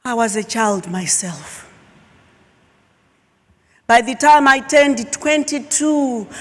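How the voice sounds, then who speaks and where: A middle-aged woman from Zimbabwe, a Shona speaker, telling her personal story as a keynote speaker with emphatic pauses. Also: high voice, a bit bright. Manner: slow, emphatic, dramatic pauses. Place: large auditorium stage. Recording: long hall reverb.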